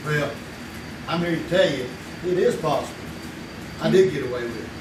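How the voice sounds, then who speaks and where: A secretive man, played by an actor confides a long-kept secret in a hushed, conspiratorial tone. His voice sits slightly high. He sounds distant in a roomy theater with steady audience noise. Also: moderate pace, lightly acted. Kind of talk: live radio play on stage. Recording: echoey stage recording from far off, with audience noise.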